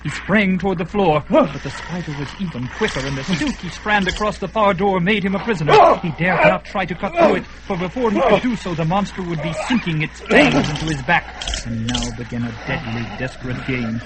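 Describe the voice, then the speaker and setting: A man's voice: high-pitched, fairly quick, very noisy. A man narrating a fantasy radio drama with dramatic, swelling delivery. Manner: dramatic, swelling at peaks, hushed in quiet parts.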